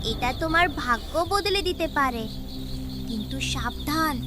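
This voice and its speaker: A woman voicing a benevolent cartoon fairy, reassuring and measured, who gives solemn warnings, with music behind her. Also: sits high, animated. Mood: gentle, reassuring. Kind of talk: children's cartoon moral-tale acting.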